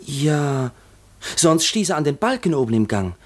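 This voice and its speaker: Young errand boy, timid, simple, stuttering answers, very lively intonation, radio play. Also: a little high. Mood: hesitant. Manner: hesitant, stammering, halting answers. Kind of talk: German radio play acting.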